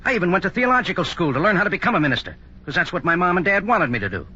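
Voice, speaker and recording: An actor playing a stubborn, defiant young man in a 1950s radio drama, brisk and intense. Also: sits high, very animated, pushed and bright, slightly rough, full character voice. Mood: bitter, defiant. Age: young adult. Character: bitter, defiant young minister's son. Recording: muffled old radio recording, faint noise.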